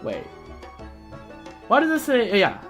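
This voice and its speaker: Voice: medium pitch, huge pitch swings, slow pace, fairly bright tone, soft background music. A streamer chatting informally about a video game in a fan-like, slangy way. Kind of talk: casual livestream chat mixed with reading game dialogue aloud.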